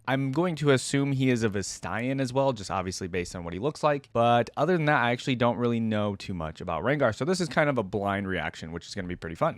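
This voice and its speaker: A man's voice: medium pitch, no echo. A man hosting a reaction video, reading lore aloud and adding casual, conversational asides as he goes.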